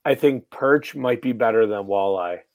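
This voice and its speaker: A raspy man from western New York, a fishing guide in casual chat, matter-of-fact as he explains gear in detail. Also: fairly low pitch, moderate pace.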